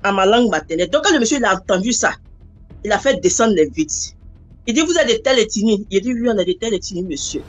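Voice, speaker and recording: Woman rattling off a story real fast, rambling, acts out the voices she quotes. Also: mid-range voice, animated, faint music behind. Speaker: caller telling a personal story. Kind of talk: casual spoken storytelling.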